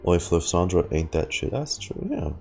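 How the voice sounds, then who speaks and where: Super deep voiced streamer reacting to a game, slangy and rambly, lively pitch, music behind. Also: moderate pace, creaky. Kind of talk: casual reaction commentary.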